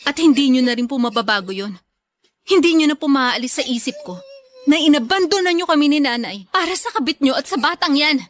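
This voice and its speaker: A woman's voice: high voice, animated, a little fast. A woman playing a resentful, hard-hearted young elder daughter in a radio drama, speaking with cold scorn. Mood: bitter anger.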